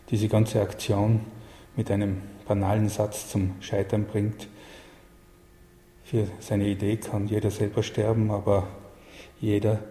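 A man giving reflective interview answers in halting, deliberate phrases. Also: fairly low pitch, warm tone. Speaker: interviewed official and literary advisor. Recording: echoey hall, faint background noise.

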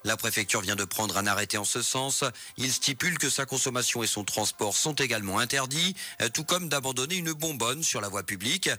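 A man's voice: medium pitch, brisk, bright, forceful tone. A man with a French accent reading a radio news bulletin. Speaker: news reader.

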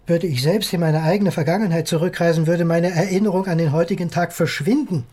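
Actor as a husky, high-pitched arrogant eccentric. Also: male voice, fairly even intonation, fairly quick, dark tone, close to an everyday voice. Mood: smug, lecturing. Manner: lecturing, condescending, insistent. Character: eccentric, arrogant male time-travel theorist, impatient patient. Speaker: actor in a radio play. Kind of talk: German radio drama dialogue.

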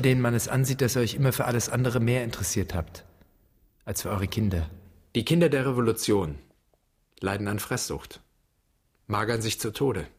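A man's voice: medium pitch, husky. An actor playing a stern, challenging inner voice between estranged father and son in a poetic radio play, pointed and emphatic. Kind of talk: literary radio play, clipped poetic lines.

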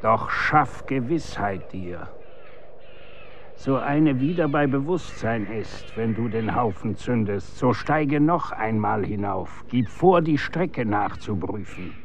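An actor in a German radio drama playing a stern, grave executioner instructing his son in commanding, archaic, measured diction. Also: mid-range voice, lively intonation, a little hiss or hum.

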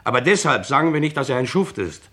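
An actor playing a defiant, plain-spoken factory worker in a radio crime drama, coarse and argumentative, with a creaky voice. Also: male voice, medium pitch, very animated, fast talker, sharp tone. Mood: bitter, stubborn.

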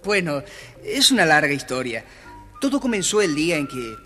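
An actor playing a dreamy, polite and eager young prince in a children's audio tale. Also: male voice, on the high side, sing-song, normal speed, bright, forceful tone, faint music behind. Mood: polite, determined. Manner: polite, wide-eyed, theatrical.

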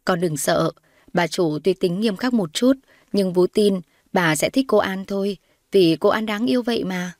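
A woman's voice: mid-range voice, normal speed, darkish tone, no echo, clean studio sound, lightly acted. A woman voicing a motherly household nanny, respectful and reassuring, in a dramatized audio story. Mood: comforting. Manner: comforting, respectful.

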